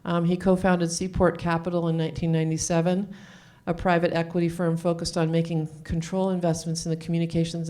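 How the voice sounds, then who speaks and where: A woman hosting a live event, introducing speakers in an informal, chatty way and sometimes haltingly reading from notes. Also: fairly low pitch, a little mellow. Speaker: event host introducing panelists. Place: live event room, on stage. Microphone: handheld microphone.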